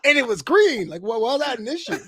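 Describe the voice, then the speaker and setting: A man giving casual, excitable comic commentary as a co-host, often slipping into mock quotes. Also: very high-pitched, a little fast, a little hiss or hum. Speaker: co-host reacting to an old radio show.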